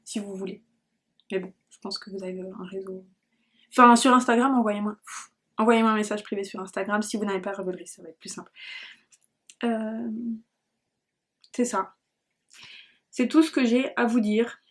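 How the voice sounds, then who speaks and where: A woman's voice: medium pitch, fairly even intonation, moderate pace, pauses often. A woman hosting a knitting podcast, chatting casually to viewers in a scattered, self-deprecating way and often losing her thread. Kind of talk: casual rambling vlog talk to viewers.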